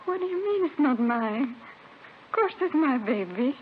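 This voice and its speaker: An actress playing a desperate, insistent wife in a 1950s radio drama, on an old noisy recording. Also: female voice, high voice, big pitch swings, normal speed, phone-quality sound. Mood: defensive, bewildered. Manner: pleading, insistent denials in short phrases. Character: desperate young wife clinging to a stolen baby.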